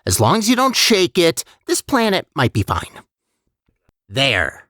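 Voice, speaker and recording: A man's voice: fairly high pitch, huge pitch swings, a little slow, pushed and bright, dry recording, studio quality, full character voice. A man playing a chatty, sardonic and untrustworthy AI guide in a video game, with wry, hesitant conversational asides. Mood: wry, uneasy. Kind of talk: video game character voice lines.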